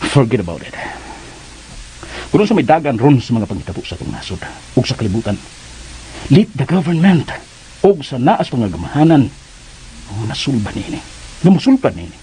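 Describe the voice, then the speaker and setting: A man's voice: mid-range voice, sing-song, warm tone, a little hiss or hum, low-quality recording. An actor playing a breezy, teasing, dismissive buddy in a radio drama, drawing out his words with English slang and a Filipino accent. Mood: evasive. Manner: drawn-out, mixes in English slang.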